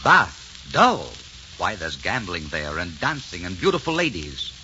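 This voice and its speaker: A romantic, headstrong young prince in a 1940s radio play. He speaks with theatrical passion and sweeping pitch. The old recording is muffled and hissy. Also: wildly swooping pitch, a little slow, sharp tone, full character voice. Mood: rapturous. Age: 33 as played. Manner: theatrical, impassioned. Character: ardent, defiant young French prince, romantic and impudent.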